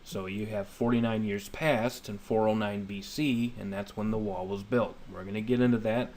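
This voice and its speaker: A man's voice: on the low side, fairly even intonation, normal speed, darkish tone. A man in his thirties giving an informal, plainspoken Bible study lesson, explaining step by step. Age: 30s. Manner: plainspoken, step-by-step, repeatedly checking understanding. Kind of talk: informal explanatory lecture with scripture reading. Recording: noisy homemade voice-over recording.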